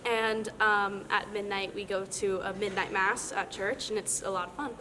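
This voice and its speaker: A woman's voice: mid-range voice, fairly even intonation, moderate pace, big-room sound, background noise. A teenage girl from Cuba answering interview questions, a little hesitant with fillers. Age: teens. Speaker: interviewed student.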